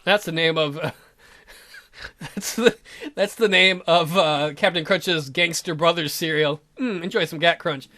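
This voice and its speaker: A man's voice: a little high, slow pace, sharp tone. A man hosting a let's play, giving casual, joking, sweary commentary while reading a game aloud.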